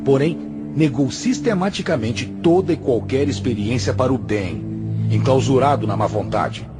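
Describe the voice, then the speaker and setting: A man's voice: mid-range voice, lively intonation, normal speed, close to an everyday voice. A man acting in a radio drama as a stern, patient spiritual master, speaking in a solemn, admonishing and didactic way. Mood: firm reproach. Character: stern, patient male spiritual master, grave moral counselor. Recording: music under the voice.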